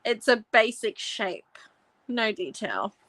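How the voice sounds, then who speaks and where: A woman's voice: fairly high pitch, big pitch swings, slow, fairly bright tone, no echo. A woman in her twenties hosting a live art class from home, talking casually and rambling with lots of asides. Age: 20s. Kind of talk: casual livestream craft tutorial. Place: at home, in a warm room.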